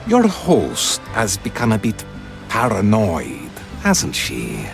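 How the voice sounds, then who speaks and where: An actor playing a sly, theatrical jester spirit who teases with courtly, drawn-out phrasing. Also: male voice, on the low side, wildly swooping pitch, vocal fry, soft background music, full character voice. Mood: sly, teasing. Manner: teasing, drawn-out, swooping delivery.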